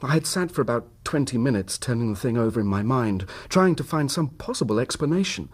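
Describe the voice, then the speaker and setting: An actor playing a troubled, suspicious husband in a radio drama, speaking in formal, precise phrases. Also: male voice, medium pitch, expressive, fairly quick, natural voice. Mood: grave, puzzled. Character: troubled, suspicious husband recounting his wife's secret.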